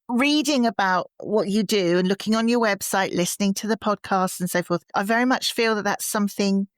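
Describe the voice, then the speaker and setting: A woman's voice: mid-range voice, dry recording. A woman hosting an interview podcast, chatting in an appreciative and encouraging way. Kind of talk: conversational interview.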